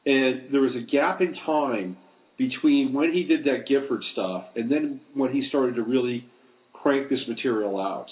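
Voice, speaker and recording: A man interviewing in a casual conversational way, with halting, reflective phrasing. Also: medium pitch. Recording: thin phone-like line.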